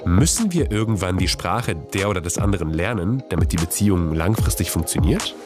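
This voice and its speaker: A man's voice: sits low, animated, brisk, music in the background. A man whose first language is Polish, hosting a podcast with reflective, personal narration and interview questions. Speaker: podcast host.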